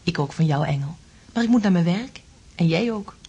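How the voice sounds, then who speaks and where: An actress in a radio play playing an affectionate, coaxing and practical lover with a husky, breathy voice. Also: female voice, fairly low pitch, lively intonation, a little fast, warm tone, muffled recording, slight background noise. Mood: affectionate, playful.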